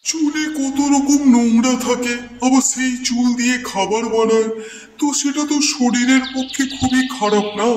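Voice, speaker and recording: A man's voice: very high voice, steady tone, normal speed, soft background music. A man playing a jealous, vengeful village stall owner in a cartoon, speaking emphatically and insinuatingly. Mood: slyly insinuating. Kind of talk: cartoon moral-story dialogue. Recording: echoey, distant, faintly noisy hall sound.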